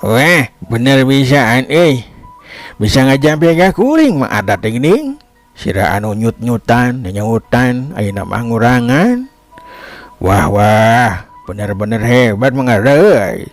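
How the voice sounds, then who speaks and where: A man's voice: medium pitch, big pitch swings, slow, faint music behind. A man voicing a humble, grateful village man in a folk tale, earnest and exclamatory, with a Sundanese accent. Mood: amazed. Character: ailing village man, amazed, grateful and piously resigned.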